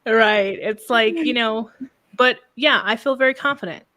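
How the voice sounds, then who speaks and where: A soft-spoken woman business coach talking calmly in a casual interview, often ending thoughts with a questioning "right?". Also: fairly high pitch, moderate pace. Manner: calm, reflective, frequent "right?" tags.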